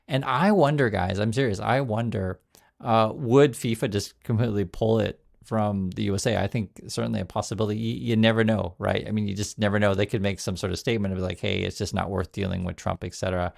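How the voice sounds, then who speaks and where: Guy doing casual commentary, gravelly fry, keeps restarting words. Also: on the low side, fairly even intonation, fast talker. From United States. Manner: chatty, restarts words, reads quotes aloud. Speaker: news commentary host.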